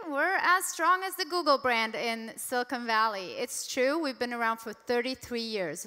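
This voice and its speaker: Brief: upbeat woman moderator, high bright voice, echoey hall. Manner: upbeat, emphatic emcee. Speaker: panel moderator. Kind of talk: conference stage hosting. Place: large conference hall.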